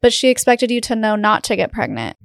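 A woman hosting an interview, asking gentle, probing questions in a conversational way. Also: a little high, big pitch swings, a little fast, vocal fry, dry recording, studio quality. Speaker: interviewer, show host.

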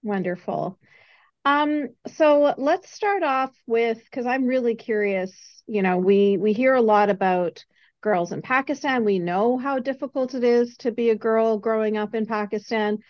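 A woman's voice: a little high, unhurried. A woman hosting a podcast interview, chatty and curious, asking long winding questions. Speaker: podcast host. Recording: dull lo-fi audio.

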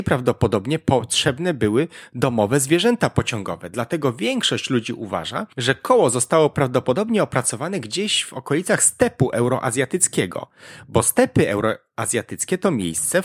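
Man, scripted podcast narration, explanatory, lively intonation, dry close sound. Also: medium pitch, breathy edge, dry recording. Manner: explanatory, addresses the listener directly. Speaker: podcast host.

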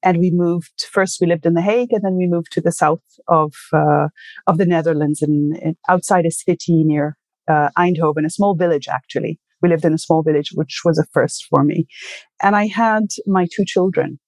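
A woman's voice: lowish voice, moderate pace, a little mellow, dry recording. Reflective Egyptian-born woman in her sixties. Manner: thoughtful, with many fillers and self-corrections. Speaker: interview guest. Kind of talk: casual conversation.

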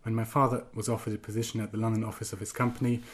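A man's voice: lowish voice, little pitch movement, rapid-fire. A man in his twenties or thirties, originally from Germany, answering interview questions hesitantly with many ums and restarts, then reading from his own piece. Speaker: guest writer.

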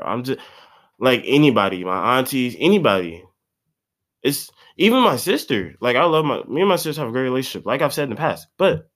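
Young male podcaster, stuffed-up nose, loose slangy ramble, pitch bouncing all over. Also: a bit bright. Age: about 20. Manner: rambling, slangy, profane, restarts often. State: congested. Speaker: podcast host. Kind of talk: casual solo podcast monologue.

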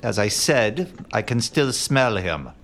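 An actor playing an aloof, self-assured master detective in a comic murder-mystery audio drama, with a haughty, theatrical, deliberate delivery and hushed musing. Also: male voice, lowish voice, very animated, slight background noise, exaggerated voice. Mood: confident, grandiose.

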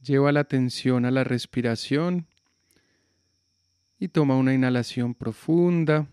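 A man guiding a yoga nidra relaxation with slow, calm, soothing instructions. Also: medium pitch, clean tone, dry recording, studio quality. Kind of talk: guided relaxation meditation.

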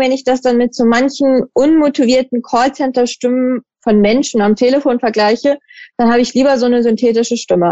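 A woman's voice: sits high, a little mellow, no room sound, muffled recording. A woman hosting a podcast interview, asking probing questions and summarizing the answers. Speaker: podcast host. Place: lives in Switzerland for almost ten years.

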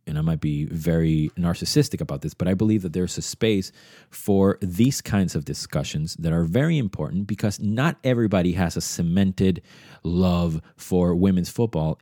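A man's voice: sits low, dark tone, no echo, clean studio sound. A man in his thirties, originally from Venezuela, hosting his own podcast and talking through his thoughts in a reflective, rambling way, often restarting his sentences. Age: 30s. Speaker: podcast host. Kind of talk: casual solo podcast monologue.

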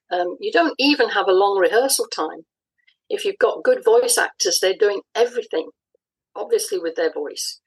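A woman giving thoughtful, explanatory interview answers in a conversational style, often pausing mid-phrase. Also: mid-range voice, husky, low-quality recording. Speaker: interview guest, audio drama writer.

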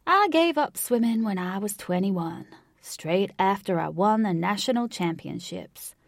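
Woman voicing a slow, drawling old Southern cowboy. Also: mid-range voice, animated, gravelly, no room sound, clean studio sound. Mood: wistful, easygoing. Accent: Southern American. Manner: folksy, avuncular, jovial. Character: large elderly Southern American cowboy-like man, folksy encourager.

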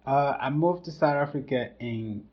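A young man with a Cameroonian accent, speaking as a podcast guest in a measured way with many pauses. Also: mid-range voice. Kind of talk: casual interview storytelling. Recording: dull lo-fi sound.

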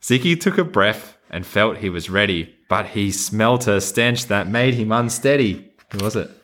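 A man's voice: lowish voice, expressive, moderate pace, a bit bright. An Australian man who hosts a podcast, talking casually and reading a children's storybook in a playful, encouraging way. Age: adult. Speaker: podcast host.

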